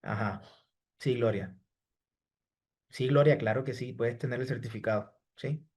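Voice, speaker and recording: A man's voice: mid-range voice, a little slow, a little mellow, husky. Man answering a live class chat. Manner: repeats "¿sí?" and "estimados" after each point; reads out chat questions and answers students by name. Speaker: online course instructor.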